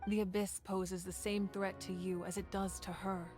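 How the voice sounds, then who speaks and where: A composed, commanding ruler of a fire nation, played by a woman, speaks in an earnest, measured tone at a mid pitch. Faint game music drifts behind her. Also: little pitch movement, natural voice. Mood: steady.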